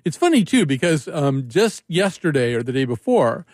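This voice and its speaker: Male call-in radio host, fairly high voice, rambling and wry, dry studio sound. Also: very animated, normal speed, darkish tone, dry recording. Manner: rambling, self-interrupting, wry. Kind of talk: casual call-in radio talk.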